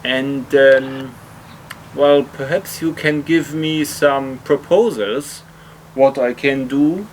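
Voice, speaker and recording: A man's voice: mid-range voice, slow, a little hiss or hum, rough recording. A man narrating an explanatory hobby vlog in hesitant, slightly awkward non-native English phrasing. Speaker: video host, hobby motorcycle customizer.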